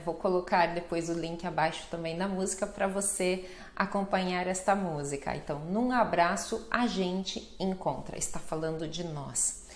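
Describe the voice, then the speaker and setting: A Brazilian Portuguese-accented woman teaching a language lesson, explaining slowly and carefully and often checking in with "né?". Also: lowish voice, reverberant. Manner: slow, careful explanations with frequent "né?" and lively greeting examples.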